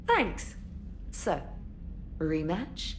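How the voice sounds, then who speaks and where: A woman's voice: on the low side, wildly swooping pitch, drawn-out words, a little hiss or hum, full character voice. A woman playing a witty, playful young military comms specialist in a video game, with a wry, articulate, teasing manner. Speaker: comms officer. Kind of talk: video game dialogue acting.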